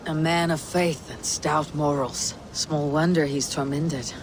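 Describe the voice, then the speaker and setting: An actor voicing a Viking leader with a calm, earnest manner. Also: male voice, fairly high pitch, unhurried. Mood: steady. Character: steady male Viking leader. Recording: game cutscene with loud rain noise.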